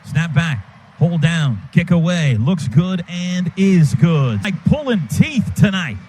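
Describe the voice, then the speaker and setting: A man doing live radio play-by-play of a football game, quick clipped calls that build to shouts on big plays. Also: a little high, very animated, husky. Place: stadium radio booth. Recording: radio broadcast with loud crowd noise.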